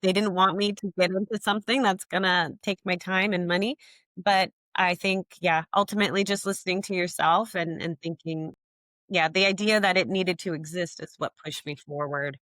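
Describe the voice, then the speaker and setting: Young woman founder thinking out loud, lots of "like", lowish voice, dry close mic. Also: fairly even intonation, normal speed, dry recording. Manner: thoughtful, frequent "like" fillers, quotes her own thoughts. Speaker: app founder, guest. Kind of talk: reflective interview answers.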